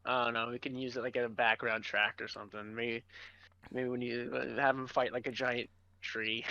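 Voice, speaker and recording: Bright-voiced man, dry slightly dull recording. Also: mid-range voice, flat delivery, normal speed, creaky, smooth, no room sound. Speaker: podcast co-host. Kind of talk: casual podcast banter.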